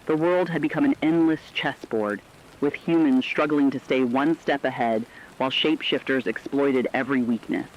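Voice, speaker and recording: A man with a medium-pitched voice narrating a scripted apocalyptic story in a solemn, measured manner. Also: dull audio, slight background noise.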